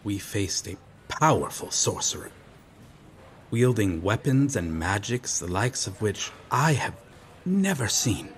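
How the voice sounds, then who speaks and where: A noble, earnest knight from medieval times, acted by a man for an audio roleplay. He speaks very slowly in a fairly low voice, with formal, old-fashioned phrasing and grave courtesy. Some ambient sound sits behind him. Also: sing-song, slight background noise. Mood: grave, recounting. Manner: formal, archaic, solemn speech. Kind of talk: ASMR audio roleplay, dramatic monologue.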